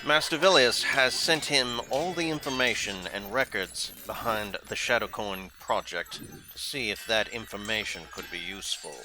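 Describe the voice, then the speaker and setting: A man's voice: lowish voice, moderate pace, over music, close to an everyday voice, poor audio. A man playing a servile alien henchman, eager to please, reporting to his lord in an audio drama.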